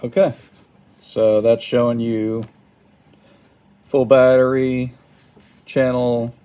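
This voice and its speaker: A man giving a casual step-by-step how-to, halting and thinking aloud, recorded indoors at a tabletop. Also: mid-range voice, level tone, warm tone. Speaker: product tutorial presenter. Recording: clearly noisy home recording, button clicks.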